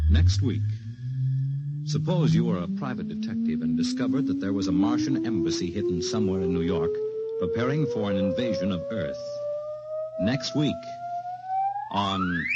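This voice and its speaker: A man's voice: medium pitch, muffled recording. A man delivers scripted announcements in the dramatic manner of 1950s radio. His mid-range voice moves animatedly over prominent music. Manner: formal, dramatic enunciation. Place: broadcast studio, music behind.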